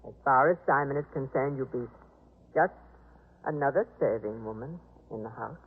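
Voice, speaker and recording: Casting: composed, kindly household mistress, husky low female voice, measured and reassuring, vintage radio drama, telephone-like sound. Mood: firm, comforting. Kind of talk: 1950s radio drama acting. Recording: muffled, telephone-like 1950s radio recording.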